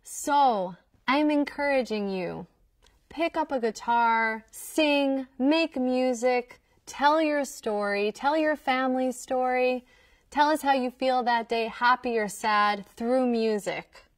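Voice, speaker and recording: An enthusiastic woman tells stories to young listeners, her voice sitting a little high. The sound is dry and a touch distant from the mic, recorded in a small studio. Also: slow pace, dry recording. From Queens, New York. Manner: warm, enthusiastic, storytelling. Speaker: folk musician presenting to children. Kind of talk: scripted educational talk to young listeners. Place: indoor studio with wooden wall backdrop.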